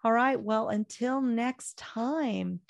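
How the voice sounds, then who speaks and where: An older woman talk radio host with a hoarse voice and a gravelly, creaky edge. She speaks with fiery, preachy conviction and then winds down into a chatty sign-off. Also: a little high, slow. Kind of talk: impassioned political talk show.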